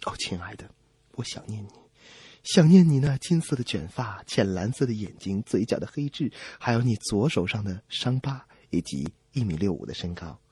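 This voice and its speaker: A man voicing a lovesick fiancé tenderly reciting a love letter in a low, soft voice for a comedy radio skit. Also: sing-song, normal speed, husky, no echo, full character voice.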